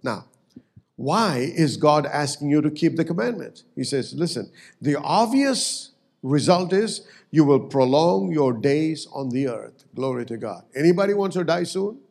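Man, pastor, sermon, gravelly edge, animated pitch, slightly high voice. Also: unhurried. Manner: emphatic preaching, swelling on key words.

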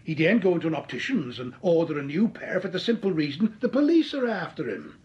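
A suave, mocking crook in a radio play. His voice sits high and bends a lot. The sound is dull and muffled. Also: big pitch swings, fast. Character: smooth scheming male crook faking his death. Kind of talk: 1940s radio acting.

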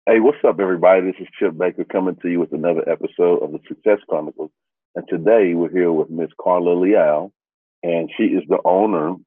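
A man hosts an interview in an upbeat, casual way, filling his questions with "you know". His voice is very deep and husky, and it comes through a tinny, phone-like line that sounds dry and close. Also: dry recording. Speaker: podcast host. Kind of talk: interview hosting.

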